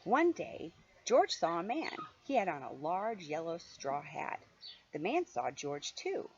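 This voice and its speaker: A mature woman reads a children's story aloud with lively swings of pitch. Her delivery is playful and expressive, moving easily into chatty asides for a young listener. The recording is dull and homemade, with faint noise. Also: measured pace. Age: middle-aged or older. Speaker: grandmother reading a picture book to a young child.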